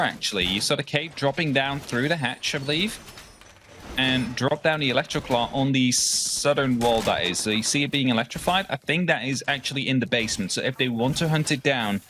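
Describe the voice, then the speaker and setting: A man's voice: medium pitch, fairly bright tone, slight background noise. Dutch-accented male esports analyst. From Netherlands. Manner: measured, explanatory, hedging with fillers. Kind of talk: live esports color commentary.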